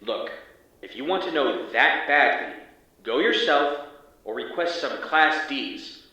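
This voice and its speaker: A man's voice: a little high, animated, slow, bright, forceful tone, full character voice. An actor playing a wary, irritable male field agent in an audio drama, sighing and hesitant as he reports back. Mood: exasperated, defiant. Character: wary, exasperated male field agent exploring an anomalous hallway. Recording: distant, muffled, echoey hallway.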